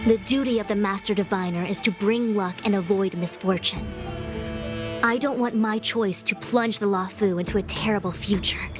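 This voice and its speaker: An actress playing a dutiful, authoritative master diviner in a video game, with formal, measured, commanding speech. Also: female voice, medium pitch, over music, lightly acted. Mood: grave, resolute. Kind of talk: dramatic English-language video game voice acting.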